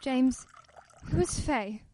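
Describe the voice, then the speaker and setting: An actress playing an inquisitive, persistent wife in a radio play, earnest and probing with theatrical delivery. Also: female voice, high voice, slow pace, background noise. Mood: suspicious. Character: inquisitive, persistent wife, suspicious yet affectionate.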